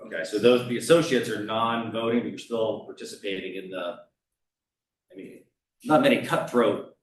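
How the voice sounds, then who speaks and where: A man heard from across an echoey meeting room, away from the microphone. He explains things informally and haltingly as he runs the meeting, over a faint rumble. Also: distant mic. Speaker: board member running the meeting. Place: indoor public meeting room. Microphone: distant room microphone.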